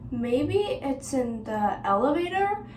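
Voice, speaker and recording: A woman's voice: high voice, big pitch swings, takes it slowly, a little mellow, exaggerated voice. A woman playing an earnest character in a 1940s radio play. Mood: eager. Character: eager speculating woman, also a regal guest. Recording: roomy, distant, faint noise.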